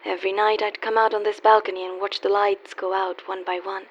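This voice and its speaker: A woman's voice: medium pitch, little pitch movement, a little fast, lightly acted. A woman playing a wry, world-weary young call girl in a radio play, teasing yet guarded and wistful. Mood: wistful, sad. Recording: dull lo-fi audio with faint hiss.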